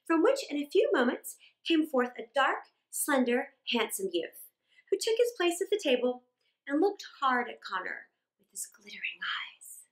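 A middle-aged woman reading a children's story aloud dramatically, with expressive, drawn-out emphasis. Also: high voice. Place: small indoor room. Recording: roomy indoor echo.

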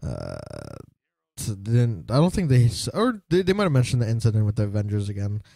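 A man talks casually as a podcast co-host, filling gaps with "um" and "uh". His pitch moves up and down a lot. The sound is dry and close. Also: brisk, no echo. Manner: lively, quotes characters in put-on voices. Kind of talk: casual review chat.

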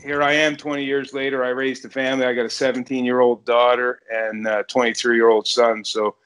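A man's voice: medium pitch, flat delivery, a little fast, a bit bright, smooth, poor audio. A man of about forty, chatting casually as the host of an interview. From Canada. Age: around 40. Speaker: podcast host and fishing guide. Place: indoor room, remote video call.

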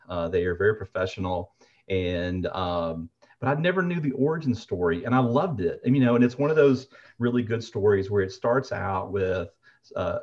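A man's voice: fairly low pitch, a little mellow. A middle-aged American man chatting appreciatively on a video panel, with hesitant but emphatic phrasing. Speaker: guest, umpire gear retailer. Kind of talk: casual endorsement on a video panel. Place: furnished room at home. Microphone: computer mic on a video call. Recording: slightly dull video-call audio.

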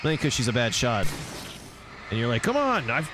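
A man's voice: mid-range voice, wildly swooping pitch, fairly quick, noisy background. A man giving casual, rambling walkthrough commentary on a video game, talking to himself and to viewers. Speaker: gameplay host.

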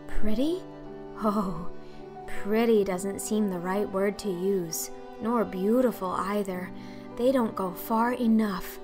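A woman's voice: mid-range voice, fairly even intonation, slow pace, music in the background, natural voice. A woman voicing a dreamy, talkative, imaginative orphan girl in an audiobook, breathless and earnest. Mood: enraptured, chatty.

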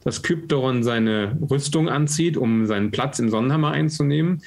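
A man with a slightly husky voice, casually recapping a plan as a player in a tabletop game over a video call. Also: mid-range voice, normal speed, a little mellow.

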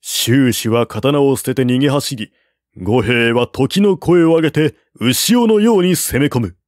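Man reading an old war story aloud, slow and steady, dry close sound. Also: mid-range voice, dry recording. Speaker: narrator. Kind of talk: audiobook reading of a classical war novel.

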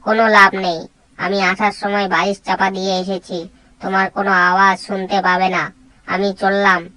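A man's voice: high-pitched, level tone, normal speed, bright, forceful tone, dry recording, pitched above the performer's own voice, poor audio. A man voicing a sly, greedy, wheedling fox in a children's cartoon story. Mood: scheming, gloating. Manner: wheedling, cunning.

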